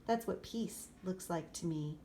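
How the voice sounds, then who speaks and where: A woman's voice: fairly low pitch, a little slow, dark tone. Woman reading a storybook, noisy recording. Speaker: storybook reader. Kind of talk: expressive children's picture-book read-aloud. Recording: clear background noise, room ambience.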